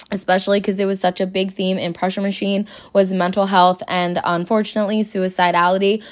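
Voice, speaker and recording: Woman, late twenties, from New Jersey, fan voice message, personal testimonial, medium pitch. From United States, New Jersey. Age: late 20s.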